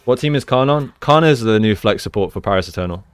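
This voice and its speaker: A man with a fairly low, clean voice talks through gameplay footage in a casual coaching style. He explains plays conversationally, in a smooth tone. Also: expressive, fast. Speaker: esports coach and commentator. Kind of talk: casual gameplay analysis commentary.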